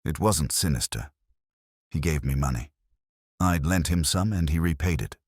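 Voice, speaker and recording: Nervous, guarded actress voiced by a deep-voiced man. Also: normal speed, no echo, studio quality. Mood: fearful, defensive. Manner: anxious, defensive.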